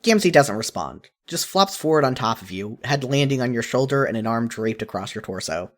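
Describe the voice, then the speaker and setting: Expressive male story narrator, lively pitch. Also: fairly quick.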